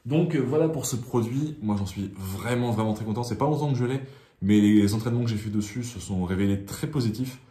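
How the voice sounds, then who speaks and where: A man's voice: mid-range voice, fairly quick, mellow. Chatty young man in an echoey room. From France. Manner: chatty, hesitant, many restarts and fillers. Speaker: triathlon vlogger. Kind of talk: casual gear tour to camera. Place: small home gym room, indoors. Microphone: phone camera held at arm's length.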